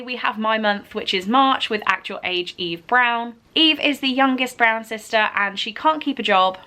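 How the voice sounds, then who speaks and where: A woman presents a casual book vlog in a bright, forward voice of average pitch. She talks in a chatty, enthusiastic way, like a host sharing news with her viewers. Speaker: book vlogger and read-along co-host. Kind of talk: upbeat casual announcement to camera.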